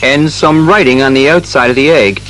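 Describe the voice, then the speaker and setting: A man playing an authoritative, easily exasperated police commissioner, brisk and official, in a superhero audio drama. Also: medium pitch, a bit bright, soft background music, close to an everyday voice, poor audio. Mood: thoughtful. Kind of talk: superhero radio drama acting.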